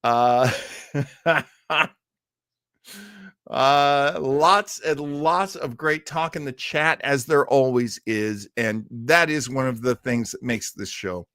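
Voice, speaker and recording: A man's voice: medium pitch, takes it slowly, a bit bright, no room sound, studio quality. A middle-aged man hosting an old-time radio livestream in a casual, chatty, rambling way, effusive and self-deprecating.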